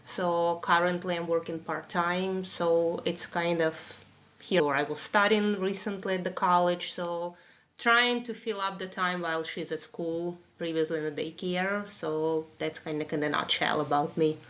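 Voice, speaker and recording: A woman's voice: on the low side, fairly even intonation, a little slow, fairly bright tone. A woman from Russia with a Russian accent, chatting as a podcast guest in non-native English phrasing. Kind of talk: casual conversational interview.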